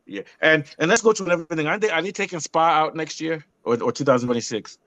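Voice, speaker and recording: A man co-hosting a motorsport podcast, talking bluntly in an animated rant full of restarts. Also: fairly high pitch, fast talker, no echo. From not European. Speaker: podcast co-host. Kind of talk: casual opinionated chat.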